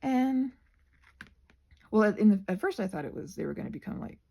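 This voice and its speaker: Woman, husky, creaky low-gloss tone, casual vlog ramble, digressive, self-correcting. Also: lively intonation, brisk, warm tone. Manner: digressive, self-correcting, trails off and restarts thoughts. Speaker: host of a rambling craft vlog.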